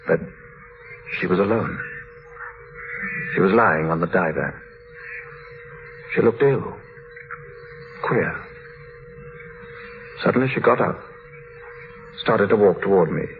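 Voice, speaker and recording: An actor in an old radio drama playing a tormented husband making a grave, halting, dramatic confession on a muffled, noisy vintage radio recording. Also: male voice, deep voice, gravelly, exaggerated voice. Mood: haunted.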